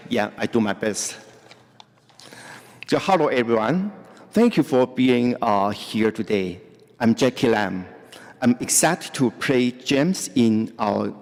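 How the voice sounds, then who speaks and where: A middle-aged man with a Cantonese accent, reading a prepared speech in careful, halting English. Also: medium pitch. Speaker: opera performer giving a speech. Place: museum event hall, indoors. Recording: reverberant hall.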